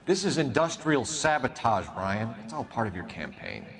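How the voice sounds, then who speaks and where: An actor playing a stern 1940s police detective, questioning suspects in a pointed, accusatory way. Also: male voice, medium pitch, sing-song, normal speed, fairly bright tone. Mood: accusing. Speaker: video game detective. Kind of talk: dramatic interrogation acting. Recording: music under the dialogue.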